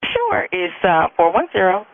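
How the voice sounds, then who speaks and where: A woman's voice: fairly low pitch, wildly swooping pitch, a little slow, creaky. An American woman working as an air traffic controller, giving courteous, chatty instructions over aviation radio. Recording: crackly narrow-band air traffic radio.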